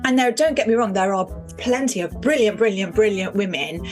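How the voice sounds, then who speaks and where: A woman's voice: mid-range voice, expressive, brisk, music in the background. Chatty middle-aged woman in interview. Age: 50s. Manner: chatty, emphatic repetition. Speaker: stand-up comedian being interviewed.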